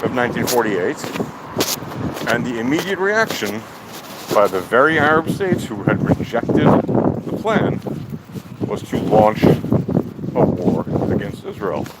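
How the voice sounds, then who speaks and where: A man giving opinionated, off-the-cuff political commentary in a deliberate, emphatic, argumentative manner. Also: medium pitch, big pitch swings. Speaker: speaker of a political monologue. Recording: loud outdoor traffic or engine noise.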